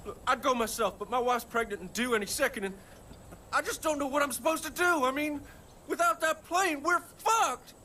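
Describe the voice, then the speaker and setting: An actor playing a frantic, desperate rural husband in a video game, agitated and pleading. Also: male voice, very high-pitched, normal speed, bright, forceful tone, slight background noise. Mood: panicked, pleading. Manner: agitated, pleading, foul-mouthed. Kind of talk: cinematic video game acting.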